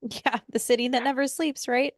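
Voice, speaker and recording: A woman's voice: high-pitched, fairly even intonation, moderate pace, no room sound, clean studio sound. A woman hosting a podcast interview, asking friendly, encouraging questions with frequent fillers. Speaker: podcast host.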